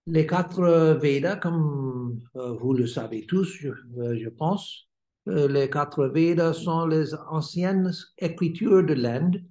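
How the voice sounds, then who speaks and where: American guy slowly reading a spiritual talk, lots of ums, echoey room. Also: a little high, mellow. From United States. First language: English. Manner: halting, many hesitations while reading. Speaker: swami giving a lecture. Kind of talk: read-aloud spiritual lecture. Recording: roomy echo.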